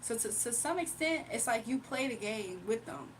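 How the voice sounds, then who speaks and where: A woman's voice: on the high side, lively intonation, moderate pace, a little hiss or hum, low-quality recording. Chatty young woman live streaming. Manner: chatty, laughs often, reads out chat. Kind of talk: casual live stream chat.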